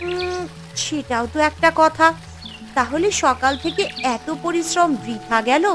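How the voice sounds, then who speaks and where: অভিনেত্রীর কণ্ঠে চড়া গলার স্নেহময়ী মা. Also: female voice, sits high, measured pace, over music. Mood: puzzled, disappointed. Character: devoted mother seeking a birthday gift for her daughter. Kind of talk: animated fairy tale voice acting.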